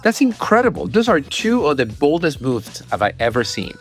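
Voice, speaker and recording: A man's voice: on the high side, big pitch swings, moderate pace, faint music behind. A man hosting a podcast, talking in an enthusiastic, emphatic way as he introduces and interviews. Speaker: podcast host.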